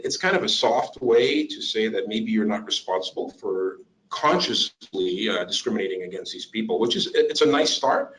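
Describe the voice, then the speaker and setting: A man giving a career workshop to job seekers, lively and joking as he acts out little interview scenes. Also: fairly low pitch, rough recording.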